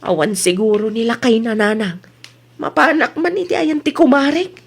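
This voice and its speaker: A woman's voice: a little high, normal speed, creaky, husky. A woman voice-acting an easygoing young wife in a comedy drama, with a Filipino accent. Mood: defensive, cheerful.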